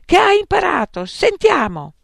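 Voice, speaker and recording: A reader voicing an angry old father who yells and scolds his son. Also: very high-pitched, huge pitch swings, measured pace, gravelly, no room sound, clean studio sound, voice pushed up, heavily acted. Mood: ranting. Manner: yelling, scolding.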